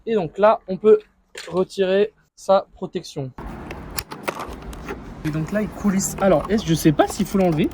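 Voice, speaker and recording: A man's voice: high-pitched, measured pace, pauses often, darkish tone, slight background noise. A man speaking informally as a vlogger, thinking aloud through an improvised unboxing and installation commentary. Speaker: motorcycle vlogger.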